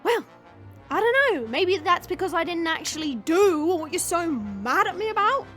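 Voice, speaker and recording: A woman's voice: very high-pitched, sing-song, moderate pace, fairly bright tone, faint music behind, heavily acted. A woman acting a brash, sarcastic young demonic woman in an audio drama, theatrical and mocking. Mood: indignant. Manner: sarcastic, theatrical, exclaiming and drawling.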